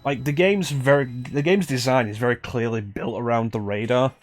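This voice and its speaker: A man's voice: medium pitch, moderate pace. A man giving casual, joking live commentary as he streams a video game, with some swearing. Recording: music behind the voice. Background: video game music.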